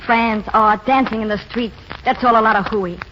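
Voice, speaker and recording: A woman's voice: on the high side, fairly even intonation, moderate pace, pushed and bright. An actress playing a frank, lovelorn young small-town woman in a 1940s radio drama. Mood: wistful, bitter. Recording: dull, faintly noisy 1940s radio recording.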